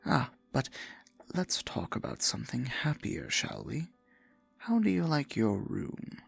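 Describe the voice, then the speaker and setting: A man playing a possessive, theatrical dark sorcerer in a fantasy ASMR roleplay, murmuring with silky menace and mock-sweet endearments in a husky, gravelly voice. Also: mid-range voice, huge pitch swings, a little slow, no echo, faint music behind, full character voice. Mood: silky, menacing courtesy.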